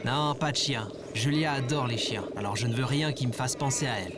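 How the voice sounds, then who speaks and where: A man's voice: mid-range voice, fairly quick, lightly acted. A man playing a lazy, petty, self-proclaimed ruler of Earth in a sci-fi comedy audio drama, pompous, whiny and bossy. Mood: grumbling. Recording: music under the voice.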